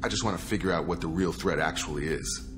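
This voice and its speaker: An actor playing a loyal, no-nonsense soldier in a video game, plainspoken and clipped, with music behind him. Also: male voice, deep voice, fast talker, close to an everyday voice. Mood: dutiful, frank. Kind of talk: video game dialogue acting. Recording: prominent background music under the voice.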